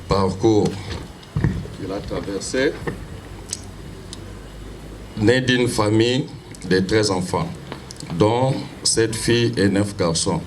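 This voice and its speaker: Slow, formal man reading a eulogy. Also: on the low side, slight background noise, rough recording. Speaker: funeral master of ceremonies. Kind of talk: reading a biography at a funeral.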